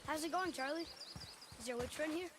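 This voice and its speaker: Casting: performer as trusting young son for a game, high voice, inquisitive and earnest, over noisy outdoor ambience. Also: fairly quick, natural voice. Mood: eager. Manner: eager, questioning, earnest. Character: eager, curious young boy, earnest and trusting. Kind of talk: cinematic game voice acting.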